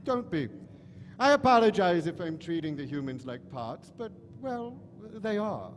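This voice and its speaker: An actor playing an eccentric alien mad professor, theatrical, gushing and disdainful, callous toward humans, in a live radio play. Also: male voice, high voice, very animated, a little slow. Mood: airy, boastful. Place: large hall stage at a convention. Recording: echoey hall stage recording, faint noise.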